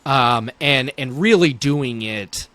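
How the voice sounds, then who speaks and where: A man's voice: mid-range voice, slow, pushed and bright. A man speaking as a neurologist guest in an interview, thinking aloud as he explains clinical points conversationally.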